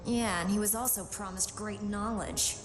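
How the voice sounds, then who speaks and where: An actress playing a wry, casual, quick-witted female superhero magician in a video game. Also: mid-range voice, steady tone, soft background music, natural voice. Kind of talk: video game cutscene acting.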